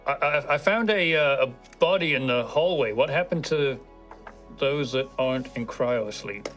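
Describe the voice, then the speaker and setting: A man improvising casually as a player in a tabletop roleplaying game, speaking in a halting way. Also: mid-range voice, fairly bright tone. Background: music.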